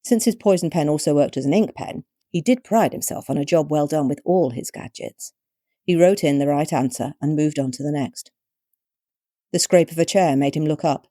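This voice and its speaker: A British woman narrating her own short story with measured, wryly expressive storytelling. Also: deep voice, no echo, clean studio sound. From Britain.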